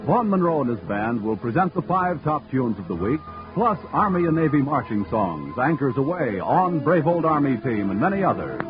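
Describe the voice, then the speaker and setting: Lively old-time radio announcer, man. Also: normal speed. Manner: formal booming announcer delivery. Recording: dull old radio recording with music.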